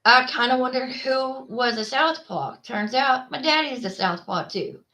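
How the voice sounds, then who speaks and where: A woman's voice: high-pitched, expressive, moderate pace, bright, forceful tone. Texan-accented woman, middle-aged, blunt and rambling, casual solo chat. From Texas. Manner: rambling, frank, with many fillers and restarts. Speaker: vlogger.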